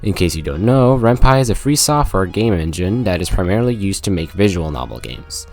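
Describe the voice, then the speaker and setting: A man's voice: low voice, sing-song, a little fast, darkish tone. A young man reading a scripted video game review, with jokey, self-aware asides. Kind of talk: scripted video essay narration. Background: background music.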